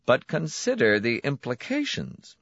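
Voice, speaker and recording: A man voicing a smooth, smiling, menacing clinic director who relishes his words. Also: mid-range voice, wildly swooping pitch, a little slow, fairly bright tone, dry recording, muffled recording, voice pushed up, exaggerated voice. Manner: silky, pointed, relishing emphasis.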